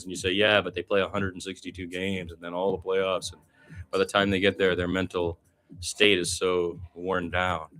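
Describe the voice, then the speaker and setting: A man with a low voice works as a basketball play-by-play commentator over music. He calls the game off the cuff and adds his own opinionated, reflective commentary. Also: fairly bright tone.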